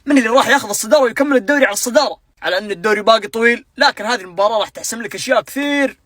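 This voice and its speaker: A man builds up an upcoming football showdown with dramatic hype. His voice is bright and high. He talks very fast. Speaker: football commentator. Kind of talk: match preview.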